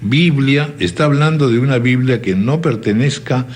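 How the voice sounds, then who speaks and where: News host guy, kinda husky voice, asking pointed questions. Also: mid-range voice, normal speed, darkish tone. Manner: inquisitive, probing. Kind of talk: television interview.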